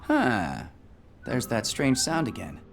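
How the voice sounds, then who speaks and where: A man's voice: mid-range voice, wildly swooping pitch, takes it slowly, gravelly, soft background music, heavily acted. An actor playing an earnest, slightly nervous young male inventor in an adventure game, boyish and often musing aloud. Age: young adult.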